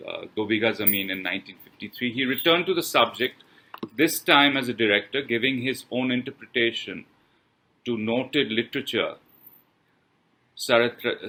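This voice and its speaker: A man reading a scripted film review as presenter, with measured, halting, deliberate phrasing. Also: on the low side, pushed and bright, a little hiss or hum, low-quality recording. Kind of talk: scripted read-aloud review with pauses.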